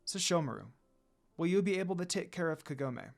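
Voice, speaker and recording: A man's voice: on the high side, lively intonation, brisk, vocal fry. Man reading as a stately demon mother. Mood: approving, kindly. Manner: formal, questioning. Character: stately demon noblewoman, probing but softening mother. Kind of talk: storybook character reading.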